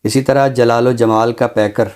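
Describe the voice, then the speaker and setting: Male literary presenter, Pakistani accent, mid-pitched, deliberate scholarly delivery for a book review. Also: steady tone, a little mellow. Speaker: literary guest presenter introducing books.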